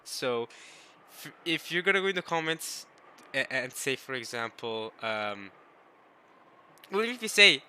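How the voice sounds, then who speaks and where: Young man, very bright tone, rambling casual talk, babbles to viewers. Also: medium pitch, big pitch swings, a little slow, pauses often, a little hiss or hum. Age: young adult. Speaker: video creator reading a visual novel.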